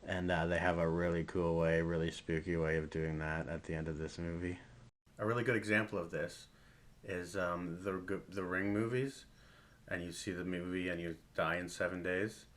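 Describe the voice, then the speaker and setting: Here is a man with a low, deep voice in a relaxed film discussion. He co-hosts a podcast and talks with real enthusiasm. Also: normal speed. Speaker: podcast co-host.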